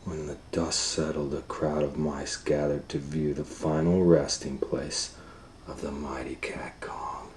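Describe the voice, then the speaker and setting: A man's voice: a bass voice, little pitch movement, a little slow, darkish tone, a little hiss or hum. A man reading a children's story aloud as a storytime host, with theatrical, suspenseful dramatic narration.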